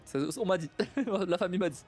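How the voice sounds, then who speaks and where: Halting, slightly high-voiced young man streaming. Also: darkish tone, breathy edge, faint music behind. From Portuguese family. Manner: halting, many repeats and restarts, laughs often. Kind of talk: casual live-stream chat.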